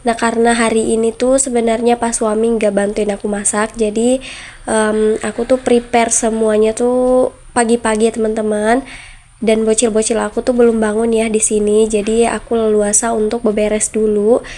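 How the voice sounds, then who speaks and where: A woman's voice: on the high side, little pitch movement, moderate pace, warm tone. A young woman with a nasal voice, congested from flu, chatting casually to viewers in a homemaker's vlog voice-over. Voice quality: nasal, stuffy. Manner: chatty, conversational, addresses viewers as friends. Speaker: homemaker vlogger.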